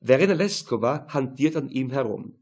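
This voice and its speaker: A man's voice: medium pitch, animated, normal speed, vocal fry, darkish tone, breathy edge. A man reading a crime novel aloud as scripted audiobook narration.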